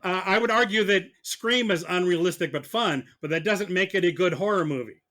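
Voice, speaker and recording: A man arguing as a mock prosecutor in a podcast debate, halting and repeating words as he presses his point. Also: high-pitched, sharp tone. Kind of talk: comic debate on a film podcast.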